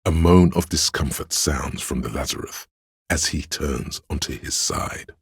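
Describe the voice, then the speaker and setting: A man with a gravelly, husky voice, narrating a video game in a measured, solemn, literary and dramatic style. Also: extremely low pitch, dry recording. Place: close, dry studio.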